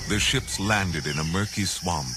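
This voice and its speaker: A man reading scripted storybook narration in a solemn, deliberate, authoritative, dramatic manner. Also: sits low, heavy background noise. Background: music.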